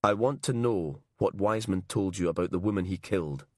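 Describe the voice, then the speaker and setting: A man's voice: sits low, fairly quick, no room sound, clean studio sound. A man reading a tense, stubborn male detective sergeant who presses hard. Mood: pressing. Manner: urgent, pressing.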